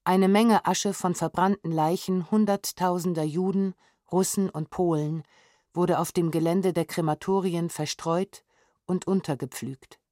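A woman with a slightly low voice reads from a script for a documentary audio edition. She is sober and measured, articulating precisely, recorded very dry and close with no room sound. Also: fairly even intonation, warm tone, no echo. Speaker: reader of historical documents.

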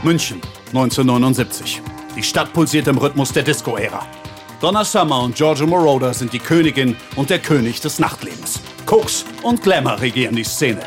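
A man's voice: mid-range voice, lively intonation, fairly quick, over music, natural voice. A man playing a pompous, theatrical narrator of a crime radio play. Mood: grandiose. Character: grandiose male crime-story narrator, theatrically solemn.

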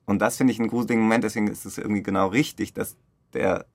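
A man's voice: on the low side, fairly even intonation, very fast, vocal fry, no echo. A man in a casual, reflective interview, talking as a director in loose, informal phrasing. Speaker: radio play director interviewed.

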